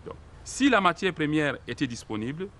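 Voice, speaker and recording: A man with a Cameroonian accent being interviewed formally, speaking in deliberate, sometimes hesitant phrases as an industry representative. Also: on the high side, big pitch swings, a bit bright. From Far North region of Cameroon.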